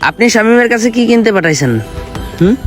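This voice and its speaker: A man's voice: sits high, lively intonation, fast, faint music behind. A young man with a rural Bangladeshi accent playing a reproachful, pleading son in an amateur drama. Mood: hurt, reproachful. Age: young adult. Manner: reproachful, insistent. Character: upset, pleading young son scolding his smoker father. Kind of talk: amateur Bengali short drama acting.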